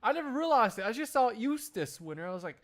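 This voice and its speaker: A man's voice: very high voice, sing-song, fast, fairly bright tone. A man chatting casually as he hosts a one-man live fandub stream. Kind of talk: casual livestream chat.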